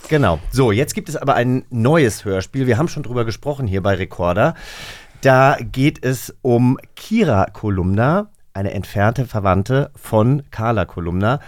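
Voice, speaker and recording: A man co-hosting a podcast, telling stories in an animated, self-mocking way into a studio microphone. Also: medium pitch, normal speed, no echo. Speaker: podcast co-host. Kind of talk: casual chat and storytelling.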